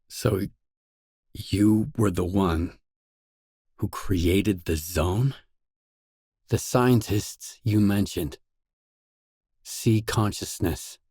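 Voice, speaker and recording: A man's voice: lowish voice, a little mellow, breathy edge, no echo, studio quality, heavily acted. A man playing a guarded, determined post-apocalyptic stalker in a video game, speaking in a terse, probing, matter-of-fact way. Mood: serious, intent. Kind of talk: isolated video game dialogue lines.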